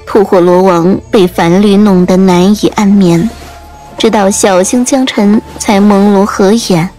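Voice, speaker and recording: A woman's voice: medium pitch, steady tone, unhurried, dark tone. A woman reading scripted audiobook narration as the storyteller. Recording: music under the voice.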